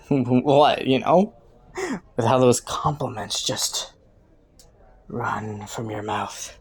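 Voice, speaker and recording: Low-voiced, flirty, smug criminal informant played by a woman. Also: lively intonation, breathy edge, heavily acted. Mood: flirty, sultry. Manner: teasing, playful, drawn-out words with chuckles and sighs. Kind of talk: scripted roleplay audio, intimate second-person monologue.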